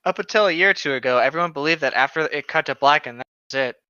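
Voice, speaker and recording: A man co-hosting casual comedic read-alouds of internet horror stories, sarcastic, irreverent and quick to swear. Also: fairly high pitch, sharp tone, clear, resonant tone, no echo, highs cut off. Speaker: co-host.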